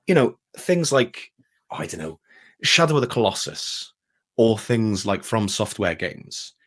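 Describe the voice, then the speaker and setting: A man's voice: fairly low pitch, very animated, measured pace, fairly bright tone. A man speaking as an interview guest in a casual, enthusiastic chat. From Wales.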